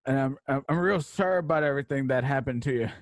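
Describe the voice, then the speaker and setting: A man's voice: medium pitch, fast talker, no echo, studio quality, lightly acted. An American man playing a desperate, pleading Western outlaw in a radio drama, stammering and whimpering. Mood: desperate, fawning.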